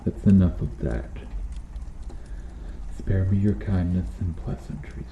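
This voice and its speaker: A brooding medieval knight, acted by a man, with a deep voice and a slow, solemn delivery. His speech is formal and archaic, protective and full of remorse, in an intimate roleplay style. It sounds in a roomy, echoing space. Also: mellow. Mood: pained refusal. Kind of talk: ASMR roleplay, slow dramatic acting addressed to the listener. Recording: roomy echo, faint background noise.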